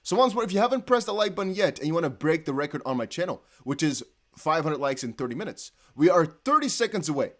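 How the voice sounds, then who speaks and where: A young man with a Dutch accent hosting a casual livestream, reading chat aloud and breaking into excited whoops. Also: a little high, fast talker. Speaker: crypto livestream host.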